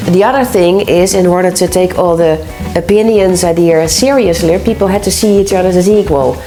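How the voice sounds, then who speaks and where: Low-voiced woman explaining culture. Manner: measured, explanatory, some hesitations. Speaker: cultural communication expert. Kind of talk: explanatory interview answers. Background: soft music.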